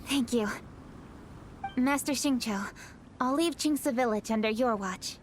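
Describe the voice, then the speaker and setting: A woman's voice: a little high, a little slow, a little hiss or hum, lightly acted. A woman voicing a poised, dutiful young woman official in a video game, speaking formally and with self-assurance. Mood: formal, composed. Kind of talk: English video game voice acting. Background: background music.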